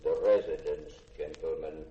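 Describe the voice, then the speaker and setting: A man in a 1950s radio drama playing a polite, literal-minded robot servant who speaks formally, measured and deadpan. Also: very deep voice, soft background music. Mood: formal, impassive. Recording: dull echoey noisy 1950s radio recording.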